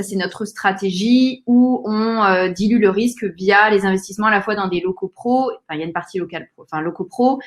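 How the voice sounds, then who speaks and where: Woman in her forties, plain-spoken. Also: medium pitch. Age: 40s. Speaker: wealth management advisor, co-host. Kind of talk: conference self-introduction.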